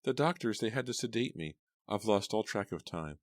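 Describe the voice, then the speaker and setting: A man's voice: mid-range voice, animated, brisk, dry recording, pitched above the performer's own voice, natural voice. A man voicing a grieving middle-aged mother on a speakerphone, trembling, stammering and sobbing. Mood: distraught, tearful.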